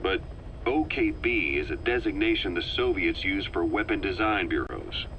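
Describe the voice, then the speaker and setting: A gruff military briefer lays out intelligence in a deliberate, matter-of-fact way, as in a video game. The voice is low, and the recording is a bit muffled with faint noise underneath. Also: male voice, expressive. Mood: measured, informative.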